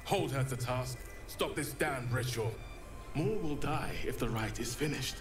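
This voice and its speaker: A man's voice: medium pitch, lively intonation, measured pace, lightly acted. Man playing a bitter grove druid, grim, clipped, roomy echo, music. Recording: echoey game audio with music.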